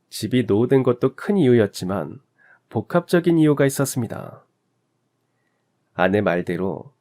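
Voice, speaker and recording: A man narrating a family story in a calm, reflective, confessional way. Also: medium pitch. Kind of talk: scripted radio story narration.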